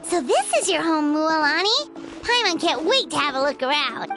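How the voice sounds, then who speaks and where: A woman voicing a tiny, excitable, curious fairy companion with a squeaky childlike voice for a video game. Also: normal speed, music in the background. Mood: excited, curious. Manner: refers to self in third person, exclamatory. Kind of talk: video game character acting.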